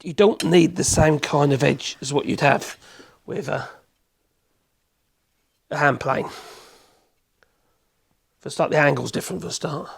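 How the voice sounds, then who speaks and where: A man with a cold, hoarse, chatting in a rambling way as he demonstrates in his workshop. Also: on the high side, unhurried, pauses often. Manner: rambling, chatty, self-correcting, chuckles. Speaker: woodworking channel host.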